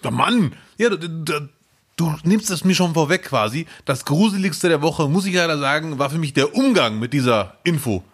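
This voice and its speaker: A man co-hosting a podcast in casual chat, lively and jokey, swinging between loud outbursts and low mutters. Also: normal speed, slightly rough. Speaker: podcast co-host. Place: near Duisburg.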